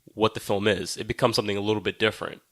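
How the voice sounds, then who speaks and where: A man's voice: lowish voice, steady tone, rapid-fire, a bit bright, no echo, studio quality. A man co-hosting a film podcast, talking casually with swearing, emphatic and argumentative, often repeating himself to press his point. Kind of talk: casual, profane film discussion.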